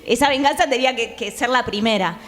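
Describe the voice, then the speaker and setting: A woman with a Rioplatense Argentine accent speaking reflectively as a panel guest, often pausing to find words. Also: a little high, a bit bright. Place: theatre, live conversation.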